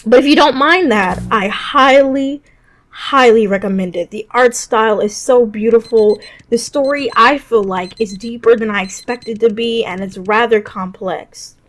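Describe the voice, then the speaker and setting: A woman's voice: fairly high pitch, a little slow. A woman hosting a narrated top list of games, conversational, with personal asides and enthusiastic recommendations. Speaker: video game reviewer, channel host.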